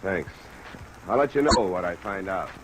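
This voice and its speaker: An actor playing a hard-boiled, world-weary insurance investigator, terse and dry, in 1950s radio detective style. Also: male voice, sits low, expressive, moderate pace. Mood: wry. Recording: vintage radio recording, clear rain noise overlaid.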